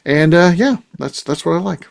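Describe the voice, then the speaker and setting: A middle-aged man hosts a loose chat, his pitch swinging up and down as he jokes and teases. He often restarts and fills with "uh". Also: normal speed, vocal fry. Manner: animated, jokey, many restarts and fillers. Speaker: host. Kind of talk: casual roundtable chat.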